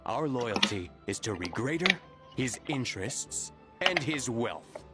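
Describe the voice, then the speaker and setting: An actor playing a cold, businesslike mercenary debt collector in a video game. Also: male voice, mid-range voice, sing-song, unhurried, over loud music.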